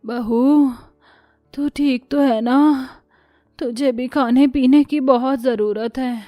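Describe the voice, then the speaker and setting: A woman's voice: high voice, steady tone, takes it slowly, no room sound, highs cut off, faint music behind. A woman voicing a loving, plaintive elderly mother-in-law in a cartoon, with a fretful, motherly manner. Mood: weary, worried.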